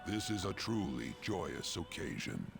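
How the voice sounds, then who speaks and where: An actor playing a grave old master in a video game, with formal, ominous, deliberate speech and a gravelly voice. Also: male voice, low voice, big pitch swings, exaggerated voice. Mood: solemn, ominous. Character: grave old male master of a rehabilitation room. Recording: music behind the voice.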